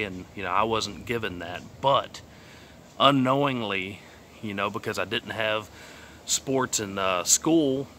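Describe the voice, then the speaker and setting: Man, late 30s, texas accent, lowish pitch, forceful tone, rambling testimony, outdoors, faint background hiss. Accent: Texan. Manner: rambling, plainspoken, many fillers and trailing asides. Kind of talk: casual personal testimony. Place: outdoors on a sunny day.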